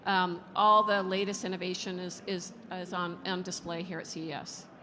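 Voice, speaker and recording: Woman, founder-investor panelist, upbeat, bright tone, reverberant large hall. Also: fairly low pitch, flat delivery, moderate pace. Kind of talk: panel discussion. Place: conference stage in a large hall. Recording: long hall reverb, faint ambience.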